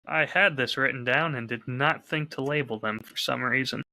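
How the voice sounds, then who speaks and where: A man hosts a casual podcast chat. His voice is very bright and forceful at a middle pitch. He comes across as upbeat and enthusiastic. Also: moderate pace. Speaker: podcast host and audio drama creator.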